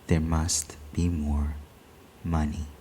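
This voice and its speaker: A man's voice: rumbling low, flat delivery, takes it slowly, dark tone, a little hiss or hum, voice pushed down. A man voicing the eerie, insistent voice of a haunted house in a storybook. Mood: eerie, hushed.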